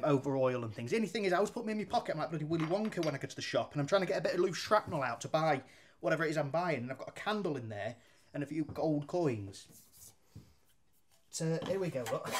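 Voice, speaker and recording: A down-to-earth man in his thirties, his voice sitting a little high for a man. He talks through a woodworking demonstration in a brick workshop, loose and chatty, dropping mild swears and local slang as he works at the bench. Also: slight background noise. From England. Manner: talks while handling and planing wood at a bench. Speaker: woodworking teacher. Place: indoor workshop with brick walls.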